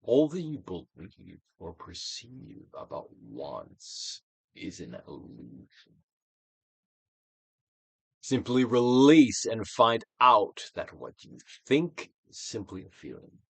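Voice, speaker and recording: A man reads a self-help audiobook as a dramatic actor would. His mid-pitched voice ranges widely, with emphatic declarations set against hushed phrases. The sound is dry, with very little room in it. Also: sing-song, slow, gravelly, slightly rough, no echo. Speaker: actor reading a book aloud.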